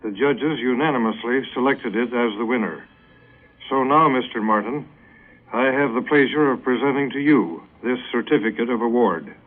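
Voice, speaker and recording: A man's voice: mid-range voice, normal speed. A man reading a formal scripted award presentation on radio, as the head of an organisation. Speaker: safety council president presenting an award. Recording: thin phone-like vintage radio recording.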